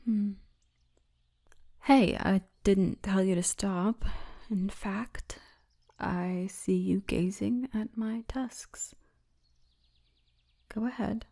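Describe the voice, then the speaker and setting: Woman doing a big orc lady guard, gentle but bossy, slow low-key asmr murmur, kinda gravelly. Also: steady tone. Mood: gentle, seductive. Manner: slow, hushed, breathy murmur. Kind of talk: intimate ASMR roleplay.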